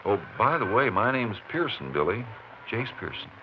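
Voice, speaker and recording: A steady American Texas Ranger in a 1950s radio western. His voice sits fairly low and moves with great animation, in folksy, clipped phrases. The old recording is muffled. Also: normal speed, a little hiss or hum. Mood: gentle, reassuring.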